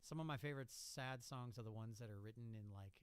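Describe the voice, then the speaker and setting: A man's voice: medium pitch, fast talker, clean tone, dry recording. Man hosting, close dry studio sound. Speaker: radio show host. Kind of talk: casual live music show interview. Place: small indoor studio. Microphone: close studio mic on a boom arm.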